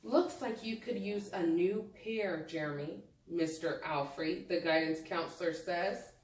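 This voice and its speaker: A woman teacher reading a picture book aloud to young children, pausing to prompt them with questions and think out loud. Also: lowish voice, a little hiss or hum. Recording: echoey room, distant microphone.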